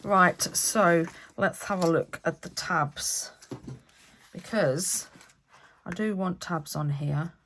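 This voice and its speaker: A woman's voice: lowish voice, takes it slowly, fairly bright tone, slight background noise. A woman hosting a craft vlog, chatting and thinking aloud as she works, a bit stuffy with a cold. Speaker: craft channel host. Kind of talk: casual crafting vlog talk.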